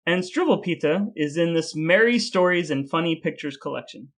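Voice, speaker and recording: Male podcast host, slightly high voice, casual storyteller with dry, playful sarcasm. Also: animated, moderate pace.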